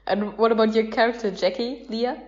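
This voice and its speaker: A woman's voice: on the high side, fairly even intonation, fairly quick, muffled recording. A woman hosting a podcast, asking questions in a casual interview chat. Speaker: podcast host interviewing cast members.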